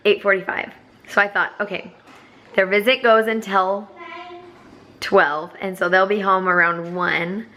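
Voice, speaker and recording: A hoarse young woman chatting to camera as a foster parent, rambling with many fillers. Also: medium pitch, bright, forceful tone. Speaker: vlogger. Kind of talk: casual chat to camera.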